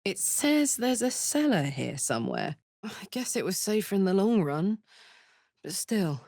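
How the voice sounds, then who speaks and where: A woman's voice: mid-range voice, wildly swooping pitch, unhurried, darkish tone, no echo, full character voice. A tough, big-hearted warrior woman with devil's blood for a fantasy video game. She talks bluntly and earthily and takes her time over the words. The sound is close and dry. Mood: low-key, careful. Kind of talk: video game character voice acting.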